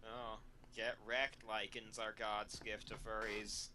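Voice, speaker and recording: A man's voice: lowish voice, flat delivery, slow, a crowd in the background. A man co-hosting a casual reading show, tossing out dry, sarcastic remarks. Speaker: co-host of a fan fiction reading show. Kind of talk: casual banter and mocking read-aloud.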